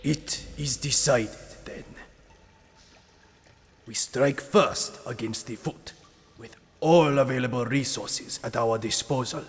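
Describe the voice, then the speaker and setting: A man playing an aged rat sensei and stern, loving father in an audio drama, speaking formally and gravely. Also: mid-range voice, takes it slowly. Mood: resolute. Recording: long reverberant hall echo.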